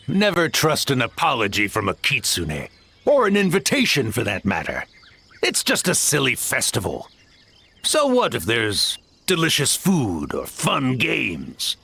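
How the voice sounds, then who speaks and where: An actor voicing a proud, grumpy old tanuki spirit in a video game, pompous and easily flustered. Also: male voice, medium pitch, huge pitch swings, a little slow, vocal fry, sharp tone, breathy edge, full character voice. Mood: teasing, suspicious. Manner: pompous, blustering. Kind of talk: animated video game voice acting.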